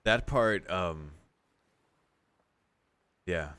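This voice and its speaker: Low-voiced man doing a casual game playthrough. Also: expressive, drawn-out words, fairly bright tone, smooth, no echo. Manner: casual swearing, musing asides. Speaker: streamer playing through and reading a visual novel. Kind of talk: casual commentary mixed with reading story text aloud.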